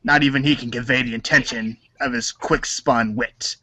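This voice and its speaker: A man's voice: medium pitch, fairly even intonation, normal speed, bright, forceful tone, dry recording. A man acting a cool, cocky, slangy teenage boy wisecracker in a reader's theater. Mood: cocky, rapping. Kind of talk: read-along storybook reader's theater acting, rapping.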